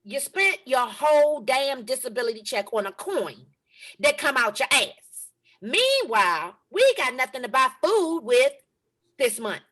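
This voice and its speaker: Brief: woman playing sassy teen granddaughter, high, lively, swooping delivery. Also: measured pace, exaggerated voice. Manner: sassy, exasperated scolding. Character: sassy nineteen-year-old granddaughter. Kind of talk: table read.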